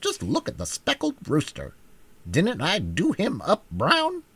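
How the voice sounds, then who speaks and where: A man voicing a feisty, proud little yellow hen in a storybook reading, with a gravelly, husky tone and scrappy defiance. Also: sits high, wildly swooping pitch, unhurried, voice pushed up, full character voice. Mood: defiant.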